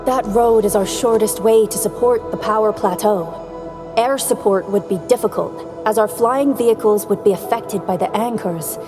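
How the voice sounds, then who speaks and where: A woman's voice: medium pitch, normal speed, big-room sound, over music, natural voice. A woman playing a businesslike, authoritative director who speaks formally and matter-of-factly in a video game. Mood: businesslike, concerned. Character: serious, businesslike female director, brisk and authoritative. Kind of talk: video game character acting.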